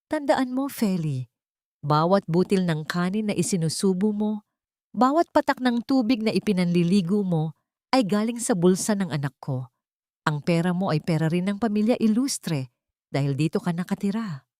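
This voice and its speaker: A woman's voice: medium pitch, lively intonation, normal speed, mellow, dry recording, lightly acted. A cruel older mother-in-law in an audio drama, acted by a woman storyteller. She is haughty and domineering, scolding with open contempt. The sound is dry and close. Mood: scornful.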